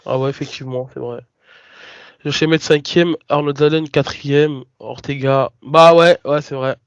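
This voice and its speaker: French man, slightly high voice, hesitant and halting, dry dull recording. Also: fairly even intonation, clear, resonant tone, no room sound. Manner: hesitant, many restarts and fillers. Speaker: podcast co-host. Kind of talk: casual podcast debate.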